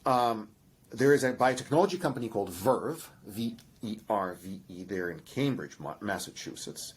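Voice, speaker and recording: Husky Russian-accented man explaining genetics. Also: mid-range voice, darkish tone. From Russian by ancestry. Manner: lecturing, enthusiastic, frequent restarts and fillers. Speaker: genetics professor guest. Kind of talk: explanatory interview answers.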